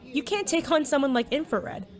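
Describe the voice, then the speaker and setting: A woman's voice: high voice, very animated, moderate pace. Woman playing a cautious, dry-voiced teenage friend. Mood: concerned, dry. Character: cautious, worried teenage best friend, laid-back student. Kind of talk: casual conversational audio drama acting.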